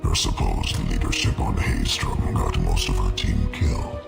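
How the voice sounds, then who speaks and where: Game heavy: mocking alien brute, bottomless gravelly growl, very loud music behind. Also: male voice, rumbling low, level tone, measured pace, exaggerated voice. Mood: contemptuous. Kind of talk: cinematic sci-fi game acting.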